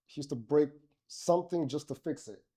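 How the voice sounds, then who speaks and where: A man's voice: fairly high pitch, moderate pace, mellow, slightly rough. A middle-aged man from Miami, a podcast guest, talking casually with many fillers and restarts. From Miami, Florida. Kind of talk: casual interview conversation.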